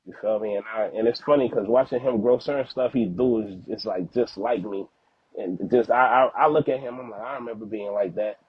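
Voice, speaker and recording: A man's voice: fairly low pitch, fairly quick. A young man of Haitian background whose native language is Haitian Creole, talking earnestly and slangily as a podcast guest and father in a casual interview. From Haitian background, living in the United States. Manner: earnest, slangy, repeats words for emphasis. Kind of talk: casual, heartfelt interview talk. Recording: phone-like narrow-band audio, dry.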